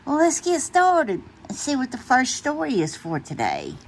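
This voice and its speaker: A woman reading a children's bedtime story aloud in an expressive, deliberate way. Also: high-pitched, a little hiss or hum. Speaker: storytime reader.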